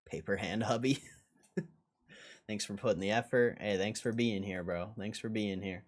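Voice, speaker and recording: A man's voice: fairly low pitch, measured pace. Casual male livestream host. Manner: informal, slangy, repeats phrases. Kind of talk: casual livestream chat, reading out viewer comments.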